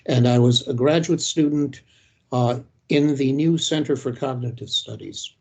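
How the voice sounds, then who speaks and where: A man, giving a reflective academic lecture in a measured, halting way with frequent pauses. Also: mid-range voice, highs cut off. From Detroit, United States. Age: 80s.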